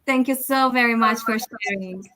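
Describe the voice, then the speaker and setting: A woman moderating a panel on a video call, warm and welcoming as she introduces speakers. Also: a little high, normal speed, a little hiss or hum. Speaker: host, moderator.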